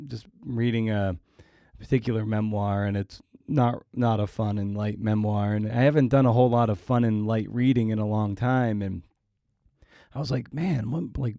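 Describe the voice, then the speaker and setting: A man's voice: on the low side, fairly even intonation, normal speed, mellow, no room sound, clean studio sound. A man hosting an interview podcast, talking casually and reflectively, often circling back and restarting mid-thought.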